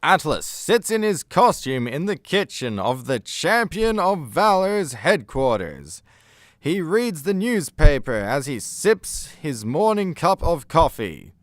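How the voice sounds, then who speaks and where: An actor narrating a superhero comedy radio play in a measured, scripted delivery. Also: male voice, a little high, very animated, dry recording, studio quality.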